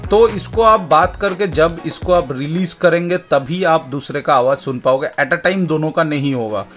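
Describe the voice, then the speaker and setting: Higher-voiced Indian man giving a product demo. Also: fairly bright tone. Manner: explanatory, repeats points for clarity. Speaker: product demonstrator and channel host. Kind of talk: casual product demo mixing Hindi and English. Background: background music.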